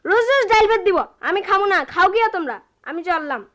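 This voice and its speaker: Shrill-pitched petulant little boy. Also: lively intonation, fast talker, fairly bright tone. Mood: petulant, defiant. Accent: Bangladeshi, Dhaka-area dialect. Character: petulant, spoiled young boy refusing his dinner. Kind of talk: radio drama acting.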